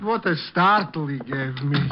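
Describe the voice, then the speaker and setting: A boastful Russian émigré painter from an old radio play. He speaks slowly in melodramatic broken English with a high, theatrical pitch. The recording is dull and vintage. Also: expressive, noisy background. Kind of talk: 1950s radio comedy-drama acting.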